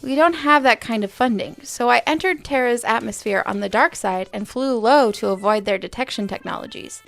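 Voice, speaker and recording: A woman's voice: fairly high pitch, moderate pace, gravelly, faint music behind. A woman playing a young, over-eager alien doctoral student in an audio drama, rambling, hesitant and apologetic. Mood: nervous, explaining. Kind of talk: audio drama comedy dialogue.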